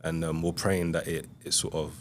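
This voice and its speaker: A young man from Congo, a podcast guest, telling his life story in a soft, hesitant way with many pauses. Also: very deep voice, flat delivery, slight background noise. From Republic of Congo. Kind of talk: reflective interview storytelling.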